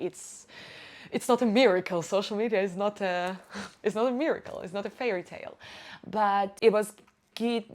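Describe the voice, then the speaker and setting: A young woman from Ukraine with a Ukrainian accent and Russian as her first language, speaking frankly and emphatically in a casual vlog, sitting in front of her camera. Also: medium pitch. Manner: frank, emphatic, swears casually, nonnative grammar slips. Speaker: YouTuber and marketing agency owner. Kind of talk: casual talking-head vlog. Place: indoors, sitting before a camera.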